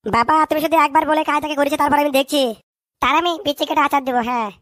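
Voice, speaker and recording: An actor performs a boastful, hot-headed son in a comic cartoon. The voice is high and very fast, excitable and full of repeated bluster. It is recorded very dry. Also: dry recording. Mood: vengeful. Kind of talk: comic dialect cartoon dubbing.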